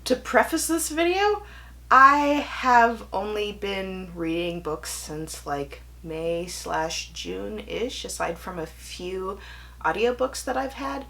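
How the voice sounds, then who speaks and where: A young woman talking casually to viewers as a book vlogger, with animated emphasis and wry, self-deprecating asides. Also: mid-range voice, slow, creaky. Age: young adult. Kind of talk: casual chatty video to viewers.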